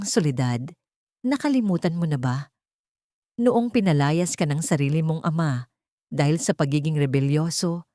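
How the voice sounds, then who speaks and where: A woman's voice: low-pitched, darkish tone, dry recording, studio quality. A woman voicing a cruel, scornful elderly mother-in-law who scolds and accuses in an audio drama story. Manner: scolding, accusatory, guilt-tripping.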